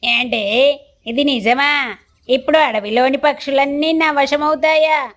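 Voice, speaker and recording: A woman's voice: sits high, fairly even intonation, measured pace, fairly bright tone. A woman voicing a lazy, scheming crow husband in a children's cartoon, with a melodramatic, whiny manner. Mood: excited. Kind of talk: children's cartoon story voice acting.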